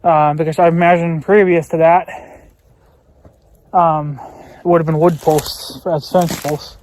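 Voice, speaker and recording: A man's voice: a little high, little pitch movement, measured pace, darkish tone. An American man rambling casually outdoors in a folksy way, thinking aloud as he films a vlog. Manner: folksy, musing aloud, mild swearing. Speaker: vlogger. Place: outdoors in rural woods. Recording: faint outdoor background noise. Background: faint outdoor noise.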